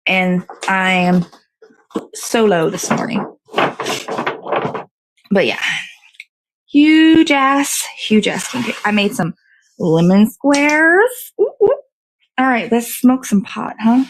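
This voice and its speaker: A woman's voice: fairly high pitch, very animated, takes it slowly, pauses often, low-quality recording. A woman, hosting a casual, rambling livestream in a playful, slangy, easygoing way. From American South. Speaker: host of a morning livestream show.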